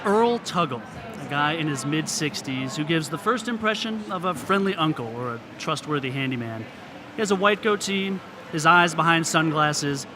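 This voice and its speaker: A man's voice: on the high side, noisy background. A man narrating a scripted radio story live on stage as a reporter. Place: theater stage. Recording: live theater with music behind.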